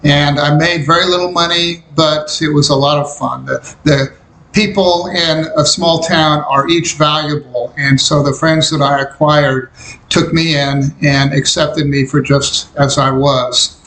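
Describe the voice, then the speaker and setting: An older man from Kansas recounting memories of his youth in a reflective, unhurried way. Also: a little high, fairly even intonation, a bit bright, slight background noise. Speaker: interviewee recalling his life. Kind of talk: personal memoir narration. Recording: roomy echo.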